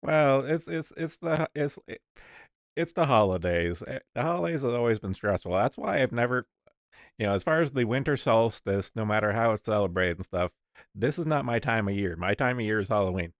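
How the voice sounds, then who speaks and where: A man's voice: mid-range voice, expressive, brisk, dry recording, clean studio sound. A man hosting a casual online stream chat, rambling and restarting his sentences while he sets up and talks. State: coughing lately, sick. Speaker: streamer host.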